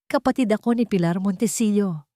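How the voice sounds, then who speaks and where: A woman with a Filipino accent voicing a thin, timid older woman confessing in an audiobook drama, soft-spoken and urgent. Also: mid-range voice, a little fast, dry recording, studio quality, lightly acted. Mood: hesitant, soft. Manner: urgent, pleading.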